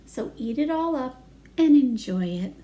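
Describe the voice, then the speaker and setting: Woman playing patient mother, high and lilting. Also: animated, normal speed, dark tone. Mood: coaxing. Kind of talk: children's picture-book character reading.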